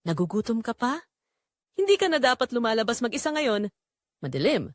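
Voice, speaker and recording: Woman voicing a protective, quietly intense suitor, dry sound. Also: big pitch swings, dry recording. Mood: tender, protective. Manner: low-key, gentle, deliberate.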